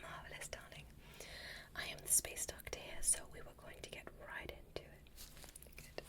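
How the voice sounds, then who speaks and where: A woman voicing a courteous, curious female alien space doctor in a soft, breathy, soothing whisper, as ASMR roleplay. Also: natural voice. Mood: welcoming, reassuring. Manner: slow, soothing, gently instructing. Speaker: ASMR performer.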